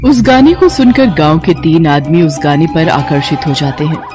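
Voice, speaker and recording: A narrator reading a scripted animated fairy tale. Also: mid-range voice, normal speed. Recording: music behind the voice.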